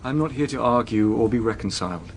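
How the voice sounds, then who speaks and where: An actor playing a stern, formal gentleman husband in a period radio drama, restrained but indignant. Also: mid-range voice, animated, fast talker, slight background noise, lightly acted. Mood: controlled, reproachful.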